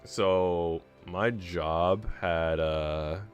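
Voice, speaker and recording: A man's voice: low-pitched, steady tone, very slow, lo-fi sound. A man livestreaming in casual chat, talking in a slangy, profane, bro-ish way. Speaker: streamer. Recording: music behind the voice.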